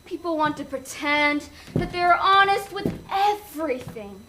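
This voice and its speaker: Slow, high-pitched sly inner voice played by a woman. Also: lively intonation, noisy background. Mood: taunting, cool. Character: sly, insistent inner voice, a young woman's hidden other self. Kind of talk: student stage play acting.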